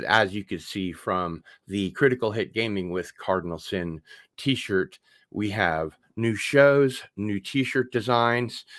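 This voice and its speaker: A man's voice: low voice, a bit bright, no room sound, clean studio sound. A man hosting a casual unboxing livestream, rambling along with wry asides. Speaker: host of an online show.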